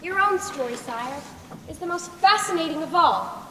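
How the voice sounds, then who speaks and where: A woman's voice: very high-pitched, expressive, moderate pace, fairly bright tone. A young teenage girl acting a clever, respectful storyteller in a school play, with formal, projected delivery. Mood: gentle, respectful. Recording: echoey hall, noisy distant stage recording.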